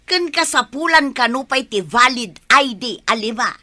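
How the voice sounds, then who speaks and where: A woman's voice: high voice, expressive, normal speed, bright, forceful tone. A woman with an Ilocano accent acting an exasperated, scolding village woman in a comedy drama. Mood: matter-of-fact, listing.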